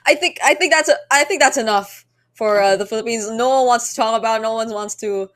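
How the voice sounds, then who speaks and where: A woman's voice: fairly high pitch, a little fast. A woman hosting an esports podcast in a casual, conversational style. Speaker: podcast host.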